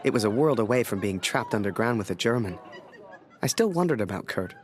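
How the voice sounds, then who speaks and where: An actor playing a wistful young army photographer narrating in a game. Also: male voice, fairly low pitch, lively intonation, fairly quick, natural voice. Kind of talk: scripted first-person game narration.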